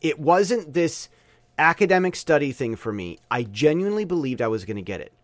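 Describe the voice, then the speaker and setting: A middle-aged man hosting a podcast, talking in a rambling, animated monologue full of restarts. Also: on the high side, a little fast, no echo. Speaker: podcast host. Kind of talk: casual monologue. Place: Taiwan.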